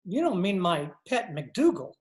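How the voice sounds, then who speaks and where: A woman's voice: fairly low pitch, very animated, unhurried, a little mellow, roomy sound. A woman playing a mild, earnest male biology teacher in an old-time radio comedy, literal in manner, recorded over a remote call.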